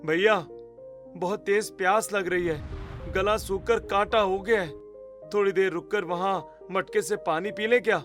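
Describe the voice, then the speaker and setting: A man as a humble, high-voiced poor labourer. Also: steady tone, unhurried, a bit bright, faint music behind. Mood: pleading. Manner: pleading, resigned. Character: poor, weary, humble male village labourer.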